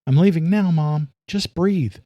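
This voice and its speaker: A man voicing a young female police officer, a guarded and weary daughter, speaking softly in an audio thriller. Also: a little high, unhurried, dry recording, highs cut off, voice pushed up, natural voice. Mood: soothing.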